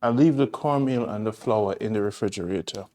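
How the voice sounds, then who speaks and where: A man's voice: lowish voice, fairly quick, mellow. A man with a Jamaican accent, from Jamaica, hosting a casual cooking demonstration in his home kitchen, chatting and rambling with homely asides as he works. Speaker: cooking show host.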